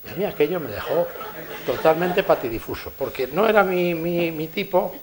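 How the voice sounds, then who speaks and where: A man with a Spanish accent from Spain giving a conference lecture, telling lively anecdotes and chuckling at his own stories. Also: on the high side, normal speed, a crowd in the background. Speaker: engineer giving a conference talk. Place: lecture hall.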